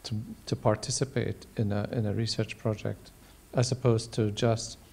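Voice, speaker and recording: A man in his sixties answering on a panel in measured, halting phrases, posing questions one after another. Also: fairly low pitch, level tone, vocal fry, darkish tone. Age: 60s. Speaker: panel member, ocular oncologist. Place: large auditorium stage. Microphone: handheld microphone.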